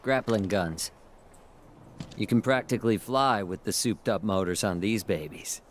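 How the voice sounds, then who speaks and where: Man as a low-voiced, guarded young street tough. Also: normal speed, slight background noise, lightly acted. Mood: matter-of-fact. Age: young adult. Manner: guarded, matter-of-fact. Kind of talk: dramatic video game acting.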